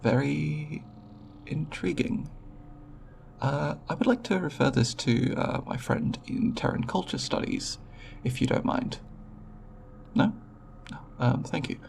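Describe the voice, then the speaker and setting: A man playing a timid, scholarly alien researcher in an ASMR roleplay, speaking haltingly and politely in formal scientific language with a creaky voice. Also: fairly high pitch, a little mellow, a little hiss or hum, heavily acted. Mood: intrigued, cautious. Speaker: roleplay voice performer.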